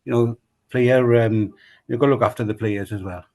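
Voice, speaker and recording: A man's voice: medium pitch, steady tone, moderate pace, darkish tone. A man with a Welsh accent, a rugby club chairman, talking casually on a podcast, rambling and repeating himself with many fillers.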